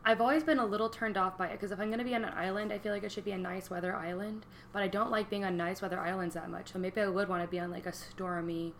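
A woman's voice: mid-range voice, fairly even intonation, fast, few pauses, a little hiss or hum. A young woman co-hosting a podcast in casual chat, joking and rambling freely. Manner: chatty, joking, laughs often. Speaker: podcast co-host.

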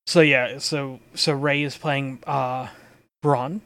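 A man hosting a casual chat about a tabletop game as its storyteller, speaking in a halting, informal way and swearing casually. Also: medium pitch, fairly even intonation, pushed and bright, no room sound.

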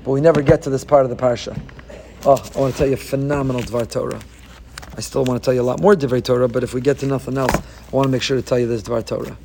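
A man's voice: medium pitch, fast, vocal fry, noisy background. A man giving a Torah lesson, telling the story with energy, acting out the people he quotes and mixing Hebrew verses into his talk. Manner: animated storytelling, acts out quoted figures. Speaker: Torah teacher.

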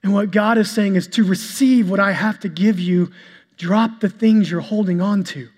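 A male pastor preaching a sermon to his congregation, with an even delivery he describes as monotonous. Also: high-pitched. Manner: calls himself monotonous and boring-voiced; preaching, shifts to a soft, slow prayer. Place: church sanctuary. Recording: long hall reverb with soft instrumental music.

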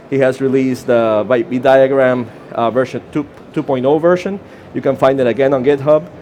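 A man's voice: medium pitch, a little mellow, a little hiss or hum. A man with a Costa Rican Spanish accent gives a short, informal conference talk, with fillers and restarts. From Costa Rica. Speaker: conference presenter. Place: conference session room.

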